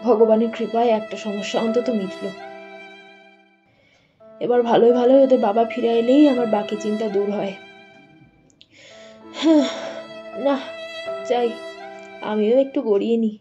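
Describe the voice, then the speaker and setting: A woman's voice: fairly high pitch, fairly even intonation, measured pace, pauses often, close to an everyday voice. A woman playing a worried, loving village mother of young children in a dramatized doll story. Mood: wistful. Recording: muffled audio with faint music.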